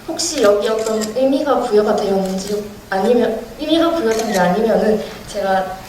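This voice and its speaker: A woman's voice: medium pitch, lively intonation, moderate pace, darkish tone. A woman in the audience asks a polite, somewhat hesitant question. Her medium voice is heard from afar, with heavy cinema-hall echo and background noise. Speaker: audience member asking a question. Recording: echoey hall audience recording, distant and noisy.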